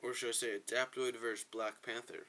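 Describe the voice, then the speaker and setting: A man's voice: mid-range voice, steady tone, brisk, gravelly, sharp tone. A man giving casual gameplay commentary as a video host, chatting to viewers in a rambling, self-correcting way.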